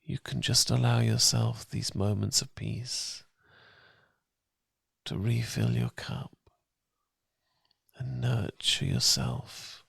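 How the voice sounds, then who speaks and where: A British man performing soothing, intimate ASMR as a loving boyfriend, speaking softly and gently, at times near a whisper. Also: fairly low pitch, no room sound, studio quality. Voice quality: soft, breathy, gravelly, partly whispered. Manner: gentle, calming, intimate, unhurried. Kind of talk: romantic ASMR, soothing guided meditation. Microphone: close, dry ASMR miking.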